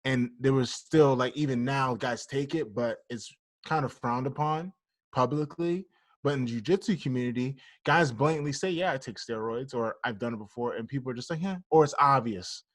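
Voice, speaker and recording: A man's voice: medium pitch, normal speed, no echo. A man co-hosting a casual podcast, talking bluntly and jokingly and swearing freely. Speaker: podcast co-host.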